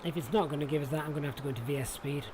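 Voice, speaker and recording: A man's voice: fairly high pitch, very fast, a little mellow. A man from Sheffield with a Yorkshire accent, giving casual, chatty live commentary on a flight simulator stream as he thinks aloud and talks to viewers. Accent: Yorkshire English. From Sheffield, England. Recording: clear background noise.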